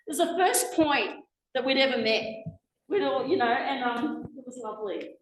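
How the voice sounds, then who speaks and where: Guest speaker, Australian woman, 40s: chatty and warm-paced, slightly high voice, echoey room sound. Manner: chatty, animated, quick asides and restarts. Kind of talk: public talk with slides. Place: lecture room, indoors. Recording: echoey lecture room, faint noise.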